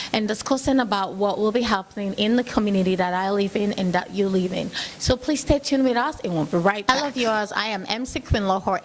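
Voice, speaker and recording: A woman hosting a community TV show, casually interviewing guests at a forum with halting, filler-laden questions. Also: mid-range voice, slight background noise. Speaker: TV host interviewing at an event. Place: at a forum in a hotel venue.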